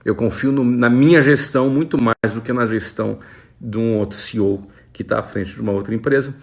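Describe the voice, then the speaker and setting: A Brazilian man in his forties, an entrepreneur talking casually to camera and answering follower questions in a relaxed, conversational way. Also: medium pitch, brisk. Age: 40s. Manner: relaxed, conversational, with frequent fillers.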